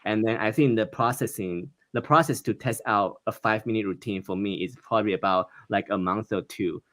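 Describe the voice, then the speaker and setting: A young man from Taiwan speaks with a Taiwanese accent, his voice sitting fairly low. He talks plainly and earnestly over a video call, quick to laugh. The sound is close and dry, with no room around it. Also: a bit bright, no room sound. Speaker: stand-up comedian, guest. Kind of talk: interview conversation.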